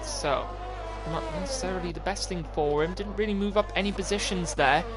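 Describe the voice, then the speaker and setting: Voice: medium pitch, a little fast, over loud music. A commentator giving live play-by-play of an online motor race on a stream. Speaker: esports racing commentator.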